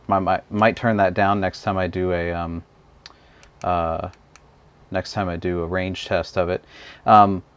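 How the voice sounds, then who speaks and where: Low-voiced man, laid-back product reviewer, dry close sound. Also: smooth, no echo. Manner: relaxed, explanatory, talks while handling the device. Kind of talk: casual hands-on gadget walkthrough.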